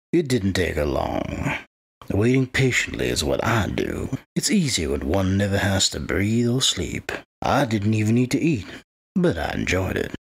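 A man playing a patient, sinister undead wight who gloats as he narrates a dark fantasy audio drama in a gravelly, husky voice. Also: fairly low pitch, exaggerated voice.

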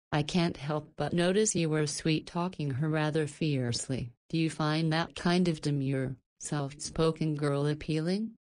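A woman's voice: low voice, a little mellow. A woman voicing a motherly, teasing halfway-house boss in a visual novel, chatty and playfully scolding.

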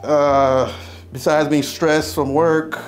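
A man's voice: a little high, little pitch movement, takes it slowly. Guy chiropractor, chill reassuring talk, keeps checking in, music behind him. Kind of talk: casual talk while treating a patient. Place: small chiropractic treatment room indoors.

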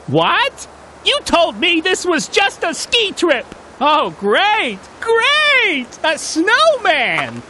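High, wildly animated robot sidekick. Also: female voice, drawn-out words, noisy background, exaggerated voice. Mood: nervous complaint. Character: small nervous, excitable flying robot computer. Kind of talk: children's cartoon game acting.

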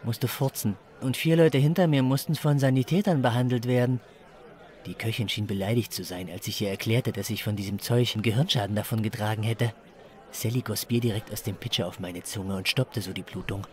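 A man's voice: on the low side, brisk, a little mellow, close to an everyday voice. A man playing a crude, despairing chili judge who complains melodramatically in a comic story. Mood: suffering.

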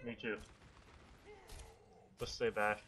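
A man's voice: fairly low pitch, little pitch movement, takes it slowly, pushed and bright. A man talking casually with a teammate while playing an online team shooter. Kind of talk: casual in-game team chat.

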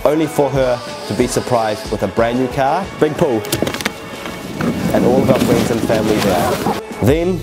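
A young man, a fitness YouTuber telling an excited story to camera, recorded indoors on a lapel mic. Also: mid-range voice, normal speed. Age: young adult. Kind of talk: upbeat vlog narration to camera. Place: indoor living room, seated. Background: background music.

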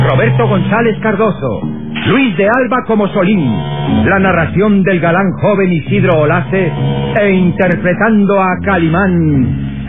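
A man announcing an old radio serial in a grandiose, declamatory, dramatic style. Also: high-pitched. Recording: tinny old radio recording with loud music.

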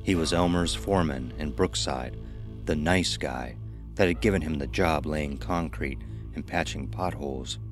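A man tells a scripted horror tale in an extremely deep voice. Music plays clearly beneath him. His delivery is measured and dramatic, phrase by deliberate phrase. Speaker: narrator of a horror anthology. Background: music under the voice.

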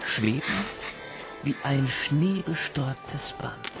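An actor as a wry storyteller, narrating his own adventure in a measured, vivid audiobook style. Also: medium pitch, expressive, gravelly, over music. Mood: wonder. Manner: measured, descriptive. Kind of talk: scripted first-person audiobook narration.

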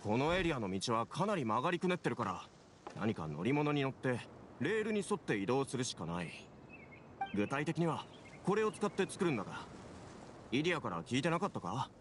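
Man playing a brusque, animated young railway caretaker. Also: mid-range voice, fairly quick, background noise, natural voice. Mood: matter-of-fact. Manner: rough casual speech, animated. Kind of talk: Japanese anime game voice acting. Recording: music behind the voice.

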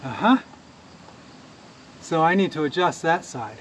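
A man's voice: a little high, lively intonation, unhurried, fairly bright tone, low-quality recording. A man in his forties casually explaining a sawmill repair as he works outdoors, laughing easily. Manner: chatty, laughs easily, talks while working. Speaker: sawmill owner showing repairs. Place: outdoors in a wooded yard beside a sawmill. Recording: outdoor recording with clear background noise.

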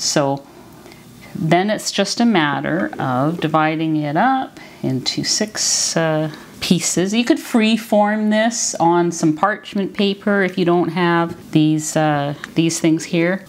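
The older woman you would hear at a home kitchen counter, slowly talking viewers through each step of a recipe in casual, everyday speech. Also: low voice, slight background noise. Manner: conversational, explanatory, unhurried. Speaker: cooking video host. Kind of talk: casual cooking demonstration.

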